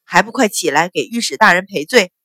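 A woman's voice: deep voice, normal speed, fairly bright tone, husky, dry recording, voice pushed down. A woman voicing a stern, enraged male chief minister who bellows commands, in a dry recording.

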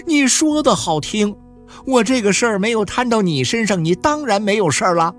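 A desperate young peasant wife, as a male storyteller puts her on, pleading through tears in a radio novel. Her voice sits high and leaps around with distress. Soft music plays faintly beneath her. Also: lively intonation, moderate pace. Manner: tearful pleading.

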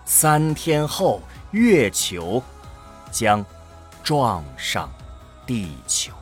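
A man's voice: mid-range voice, big pitch swings, extremely slow, soft background music. A man narrating a science fiction radio drama in a dramatic scripted storytelling style.

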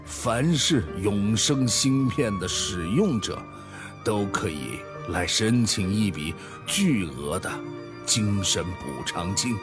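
A man playing a remorseful tech founder making a solemn, deliberate confession in a radio drama. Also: fairly low pitch, expressive, music in the background. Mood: grave, apologetic. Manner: grave, solemn, deliberate, sighing. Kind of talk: dramatic radio play monologue.

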